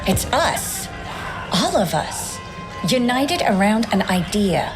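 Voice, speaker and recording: An actress playing an earnest, insistent, idealistic corporate loyalist in a video game cutscene. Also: female voice, mid-range voice, big pitch swings, slow pace, over music.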